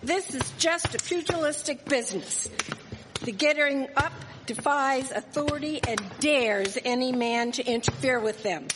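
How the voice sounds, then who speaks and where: A woman's voice: high-pitched, a little slow. A woman playing an outspoken, feisty townswoman in a live radio drama. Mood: indignant. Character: outspoken, feisty townswoman watching and debating street fights. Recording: echoey hall, background noise and clicks.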